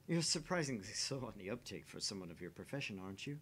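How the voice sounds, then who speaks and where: A man's voice: on the low side, sing-song, fast talker, a bit bright, slight background noise. A man playing a smug, condescending man who taunts and teases, reading visual novel dialogue. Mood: smug, teasing. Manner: mocking, taunting. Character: smug, teasing man, mock-helpful and condescending.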